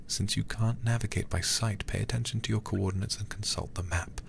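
A man streaming a horror game in a soft, intimate ASMR style close to the microphone, muttering and sometimes whispering. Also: fairly low pitch, fairly even intonation, fairly quick, creaky, slight background noise. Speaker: livestreamer playing a horror game.

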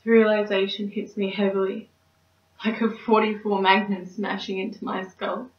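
A woman's voice: mid-range voice, little pitch movement, moderate pace, close to an everyday voice. A young woman performing a stage monologue as a neurotic, melodramatic single woman, theatrical and anxious. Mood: dramatic dread. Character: neurotic, dramatic single woman dreading dating. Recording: roomy, distant mic, slightly dull.

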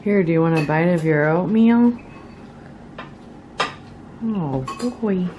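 A woman's voice: lowish voice, slow, muffled recording, background noise. A woman talking casually to young children in sing-song baby talk, as a mother filming at home. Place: indoors in a home kitchen and dining area.

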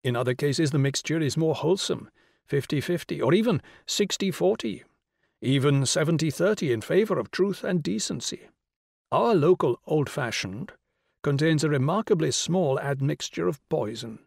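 A man's voice: on the high side, expressive, moderate pace, gravelly, a little mellow, dry recording, clean studio sound. A man reading a novel, voicing a patient, confident male intellectual who explains things articulately. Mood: confident lecturing.

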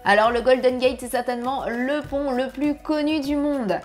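A woman in her thirties giving travel tips to camera from her home office, lively and chatty, on a lapel mic. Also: high voice, normal speed, soft background music. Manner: lively, chatty, gesturing with hands. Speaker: family travel vlogger. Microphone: clip-on lapel mic.

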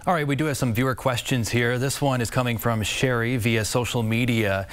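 A man's voice: mid-range voice, fairly even intonation, fairly quick. A male news anchor moderating a town hall from a TV studio, reading viewer questions conversationally.

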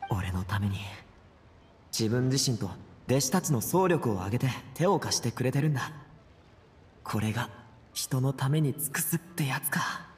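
An actor playing an earnest, brash young man in an anime game, speaking in a casual, rough-edged youthful way. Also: fairly high pitch, sing-song, moderate pace. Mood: reflective. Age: young adult.

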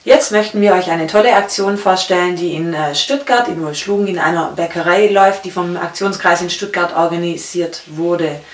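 A woman's voice: on the low side, moderate pace, few pauses. A woman in her thirties introducing a segment to camera as presenter. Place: indoor room. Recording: very echoey room.

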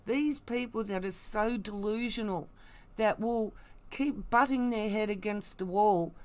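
A woman's voice: mid-range voice, a little slow. A woman giving blunt, emphatic, mocking commentary, unscripted and opinionated. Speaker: online commentator.

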